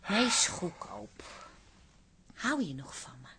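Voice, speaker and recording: A woman's voice: medium pitch, very animated, slow pace, pushed and bright, exaggerated voice. A woman playing an amorous, playful but dissatisfied wife in a Dutch radio play, speaking intimately and coaxingly. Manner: intimate, coaxing, sometimes breathy whispering.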